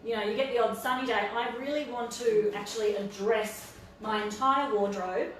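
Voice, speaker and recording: A woman's voice: on the high side, moderate pace. A woman in her thirties with an Australian accent, hosting a casual fashion live stream and laughing often. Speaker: fashion boutique host. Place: clothing studio with a rack, indoors. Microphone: far camera. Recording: roomy echo from far off.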